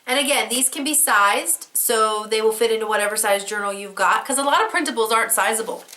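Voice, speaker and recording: A woman's voice: a little high, a little fast, pushed and bright. A woman with a head cold and a fading voice, chatting casually and rambling a little as she shows her work. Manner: chatty and rambling, with fillers and restarts; candid, with casual swearing. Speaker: host. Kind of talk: casual show-and-tell craft vlog.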